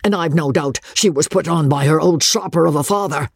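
A man puts on a wealthy old merchant with a coarse, bullying bluster, his pitch leaping high and low. The voice carries a gravelly, husky edge, set in a dry, close audiobook sound. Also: very animated, measured pace, no room sound, full character voice. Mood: wrathful.

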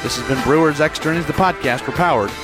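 A hoarse man with a cold hosting a sports podcast, talking casually and stopping often with fillers into a headset mic. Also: on the high side, over loud music. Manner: halting, many uh fillers. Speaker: podcast host.

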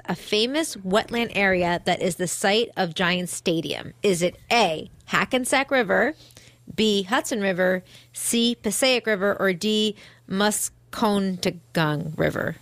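A woman with a New Jersey accent reads out quiz questions with bubbly enthusiasm. Her voice has a middle pitch and sounds dry and close to the mic in a radio studio. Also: a little slow, a bit bright, no echo. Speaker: radio co-host and comedian. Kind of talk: reading trivia questions on radio.

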